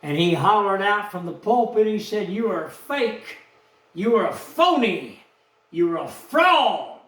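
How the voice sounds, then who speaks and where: A man, telling a rambling personal story in a slow, aggrieved, folksy way. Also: high-pitched, big pitch swings, fairly bright tone, far from the mic. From Texas, USA. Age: 70s. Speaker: vlogger. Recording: echoey room, off-mic.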